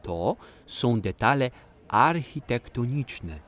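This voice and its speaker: A man's voice: mid-range voice, big pitch swings, slow pace, fairly bright tone. An actor playing a kindly, patient man who explains and encourages as a guide in a children's audio play. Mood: encouraging, instructive.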